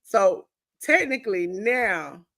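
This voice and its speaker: A woman's voice: mid-range voice, big pitch swings, slow pace, fairly bright tone. A woman in her forties teasing a friend in a casual livestream chat. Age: 40s. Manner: teasing, laughing.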